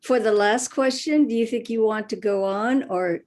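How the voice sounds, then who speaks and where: A woman's voice: fairly high pitch, moderate pace, a little mellow. A woman taking part in an online group, chatting casually. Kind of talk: casual chat.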